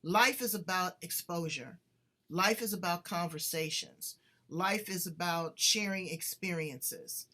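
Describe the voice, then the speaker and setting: A woman from the United States hosting an interview show, chatting in an animated, playful way. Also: lowish voice, unhurried. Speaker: podcast host. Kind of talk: casual interview chat.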